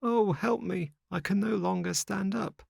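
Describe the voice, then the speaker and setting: A mischievous storybook boy with a raised, youthful pitch, put on by a male reader. He is cagey and mock-polite, with lively melody in his phrases and a dry, close sound. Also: a little slow, dry recording. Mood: panicked.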